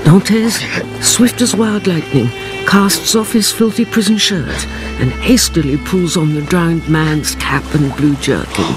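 An older actress playing a grave storyteller, delivering dramatic narration for a radio drama. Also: female voice, lowish voice, a little slow, lightly acted, low-quality recording. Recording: drama mix with music behind.

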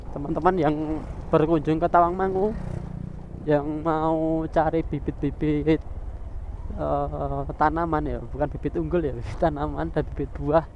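A man's voice: fairly high pitch, lo-fi sound. A man from Indonesia, chatting casually and jokingly as he narrates a ride as a motovlogger. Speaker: motovlogger, channel host. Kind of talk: casual motovlog commentary while riding. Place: outdoors, riding a motorbike on village roads. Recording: outdoor vehicle and engine noise.

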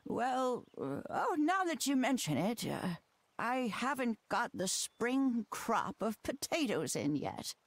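Feisty old witchy grandma, wildly animated. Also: on the high side, slow pace, no echo, heavily acted. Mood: kindly, hesitant. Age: older. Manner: grumbling, folksy, affectionate. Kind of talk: animated video game voice acting.

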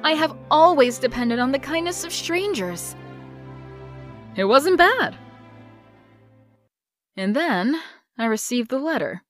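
Woman doing a wry, wistful young narrator with a lively, animated pitch. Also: takes it slowly, long pauses, soft background music. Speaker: narrator of her own past. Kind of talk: comic dub narration.

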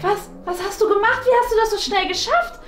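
Very high, chirpy schoolgirl played by a woman. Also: a little fast. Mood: curious, excited. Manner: eager, questioning. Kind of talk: improvised web-series acting. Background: background music.

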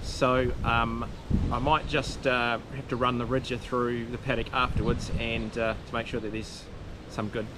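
A man gives a relaxed, plain-spoken farm walkthrough, full of ums and uhs, outdoors and in a tractor cab on an action camera. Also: mid-range voice, moderate pace. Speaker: farmer. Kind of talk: casual farm vlog walkthrough. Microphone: action camera on the body or close at hand. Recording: loud wind and engine noise.

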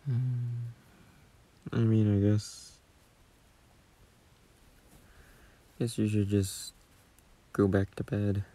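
Guy acting as a shy sweet boyfriend, really slow sleepy-soft murmur, gravelly low-ish voice, comfort audio. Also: fairly even intonation, exaggerated voice. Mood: bashful, gentle. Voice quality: husky, soft, breathy murmur. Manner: slow, hesitant, gentle. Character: gentle, shy, doting young boyfriend soothing his partner. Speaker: audio roleplay performer. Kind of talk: soft intimate sleep-aid roleplay. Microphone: close phone mic.

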